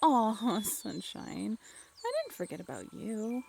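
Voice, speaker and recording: A young woman acting an affectionate, playful girlfriend in a romantic audio roleplay, expressive and teasing. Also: a little high, slow pace, gravelly, a little hiss or hum, full character voice. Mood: fond teasing. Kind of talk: romantic comfort audio roleplay, acted one-sided conversation.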